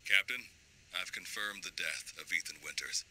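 Casting: dutiful game soldier, deep yet very crisp-edged, restrained report, faint noise. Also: male voice, normal speed, sharp tone, poor audio. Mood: sober. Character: dutiful subordinate soldier reporting to his captain. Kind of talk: cinematic video game acting.

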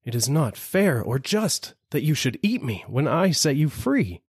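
A man voicing a gentle, kind holy man who pleads desperately for his life in a storybook reading. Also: on the high side, sing-song, a little slow, slightly rough, no room sound, studio quality, pitched above the performer's own voice, heavily acted. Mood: pleading. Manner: pleading, imploring.

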